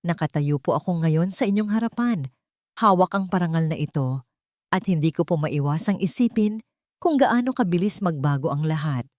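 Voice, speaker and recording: A woman's voice: on the low side, expressive, normal speed, a little mellow, no room sound, studio quality, lightly acted. A woman storyteller voicing a humble, sincere young mother who speaks in a respectful, heartfelt manner. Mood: sincere, moved.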